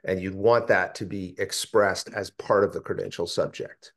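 A man presenting in a technical working group call, explaining in a halting, thinking-aloud way. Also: low voice, steady tone, fairly bright tone. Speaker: meeting presenter. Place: indoor room, video call.

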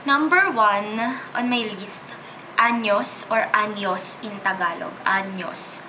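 A woman's voice: mid-range voice, slow, background noise. A woman in her twenties with a Filipino accent, chatting casually to camera from her bedroom and mixing languages. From Philippines. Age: 20s. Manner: switches freely between English, Tagalog and Spanish, chatty. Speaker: vlogger. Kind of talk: casual chat. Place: small bedroom, indoors. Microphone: camera in front, seated close.

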